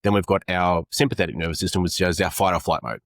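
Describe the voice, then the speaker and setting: Low-voiced Australian man explaining. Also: quick pace, vocal fry, dry recording. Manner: earnest, explanatory, fillers like "you know". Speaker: men's coach. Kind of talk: conversational interview.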